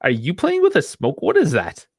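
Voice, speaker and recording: A man's voice: fairly high pitch, huge pitch swings, moderate pace, creaky, no room sound, studio quality. A man co-hosting a casual sports call-in podcast, talking in a chatty, rambling way and laughing often. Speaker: podcast co-host.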